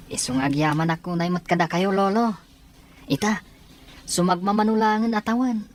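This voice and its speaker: A woman's voice: medium pitch, moderate pace, close to an everyday voice. A woman playing a hard-working young granddaughter with a respectful, earnest manner in a radio-style drama. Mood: earnest, grateful.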